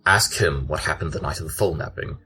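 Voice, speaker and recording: A man's voice: rumbling low, fairly quick, sharp tone, heavily acted. A man voicing a curt, grumpy, aloof pony detective in a cartoon audio drama, speaking in a formal, precise way. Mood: impatient.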